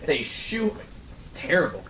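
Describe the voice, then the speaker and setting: Casting: animated man, fairly high voice, sweary joking storyteller in casual group chat, echoey room. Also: a little slow, recorded from a distance. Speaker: panelist on a group discussion show. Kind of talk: casual round-table chat. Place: indoor room, group round-table. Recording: roomy echo with faint background noise.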